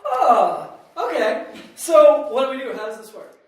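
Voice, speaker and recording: A woman's voice: sits high, wildly swooping pitch, unhurried, exaggerated voice. A woman playing a sceptical, outspoken writer in a live scripted comedy sketch. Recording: very echoey hall, distant microphone.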